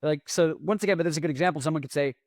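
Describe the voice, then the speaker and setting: A man's voice: a little high, rapid-fire, a little mellow, dry recording, studio quality. A man hosting a podcast in a rambling, casual solo monologue. He mumbles his words and lets his S sounds drag out. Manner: rambling, self-deprecating. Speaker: podcast host.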